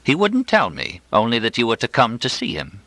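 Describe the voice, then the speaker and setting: Guy doing a gossipy sarcastic mom in an audiobook, pointed and arch, bright voice, pitch jumps around. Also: wildly swooping pitch, brisk, no echo, full character voice. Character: narrator's sarcastic, disapproving, gossipy mother. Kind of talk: audiobook character dialogue.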